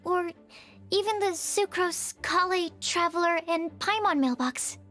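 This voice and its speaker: A woman's voice: very high-pitched, a little slow, a bit bright. A woman voicing an earnest, studious young woman in a video game, speaking in a careful and precise way. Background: game music.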